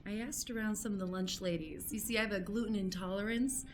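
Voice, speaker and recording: A woman's voice: medium pitch, fairly even intonation, fast, faint music behind, natural voice. A woman playing an eager, awkward amateur journalist in an improvised radio play, hesitant and prying in a polite way. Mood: nosy, flustered.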